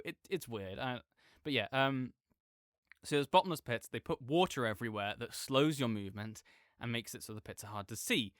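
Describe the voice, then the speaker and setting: A man playing an opinionated gamer roommate in an audio roleplay, ranting casually and haltingly, often correcting himself with apologetic asides. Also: medium pitch, fairly bright tone, clean tone, dry recording, clean studio sound. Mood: exasperated. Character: opinionated gamer roommate ranting good-naturedly about games. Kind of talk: rambling casual rant, sleep-aid audio roleplay.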